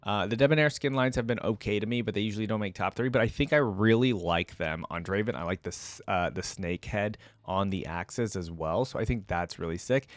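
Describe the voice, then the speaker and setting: A man's voice: on the low side, brisk, few pauses, no room sound. A man hosting a reaction video, commenting casually and informally as he thinks aloud.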